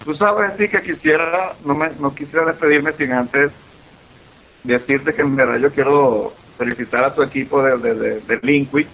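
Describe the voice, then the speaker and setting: A man's voice: medium pitch, normal speed, a bit bright. A man from Venezuela with a Venezuelan Spanish accent, chatting as an interview guest, effusive and eager, often repeating himself. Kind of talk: casual interview conversation. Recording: lo-fi with faint hiss.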